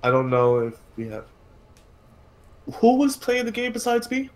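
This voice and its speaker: A man hosting a casual podcast livestream about films and games, talking haltingly and repeating words as he thinks aloud. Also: very high voice, big pitch swings.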